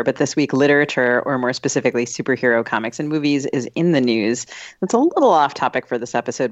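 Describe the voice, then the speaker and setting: A woman's voice: rumbling low, muffled recording. A woman co-hosting a podcast, talking with guests and putting questions to them in an interview. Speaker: podcast co-host.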